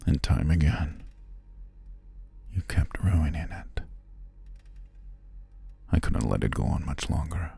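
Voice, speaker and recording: A man playing a cruel, possessive stalker captor in an intimate ASMR roleplay, speaking in a breathy, condescending, taunting murmur that often drops near a whisper. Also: sits low, dark tone, heavily acted.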